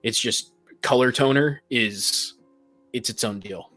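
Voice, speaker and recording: A man's voice: mid-range voice, slow, bright, forceful tone, soft background music. A man co-hosting a casual group podcast chat, explaining and giving advice with dry teasing. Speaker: podcast co-host.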